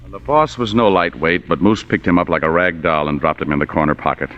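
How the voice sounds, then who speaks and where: An actor playing a wisecracking, hard-boiled private detective in a 1940s radio noir, with a dry, sardonic delivery. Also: male voice, low-pitched, fast, a little hiss or hum, close to an everyday voice. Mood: brooding, wry. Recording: dull vintage radio broadcast recording.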